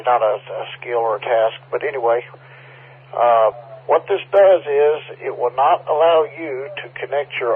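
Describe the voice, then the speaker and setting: A rough, husky-voiced man gives an informal announcement as a ham radio operator. He speaks at a middling pitch, and the two-way radio link squeezes his voice into a narrow, phone-like band. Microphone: radio transceiver microphone.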